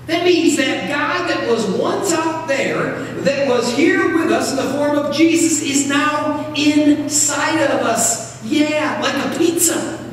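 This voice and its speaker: A middle-aged American man preaching a sermon as a pastor, phrasing emphatically and deliberately with dramatic pauses. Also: extremely high pitch. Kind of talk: sermon from a pulpit. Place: large church sanctuary, at pulpit. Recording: very echoey church hall, distant mic, noisy.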